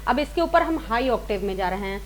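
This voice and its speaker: A woman's voice: on the high side, lively intonation, fast, over loud music, low-quality recording. A woman teaching a singing lesson step by step, informally rephrasing and repeating her points and mixing in English words. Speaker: singing teacher, series host.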